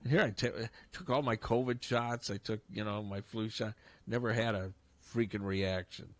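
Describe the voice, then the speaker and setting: A man's voice: on the low side, steady tone, normal speed, fairly bright tone, no room sound. An older man hosting a casual radio sports talk show, congested and talking in a rambling, chuckling way. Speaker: radio host. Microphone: studio broadcast mic.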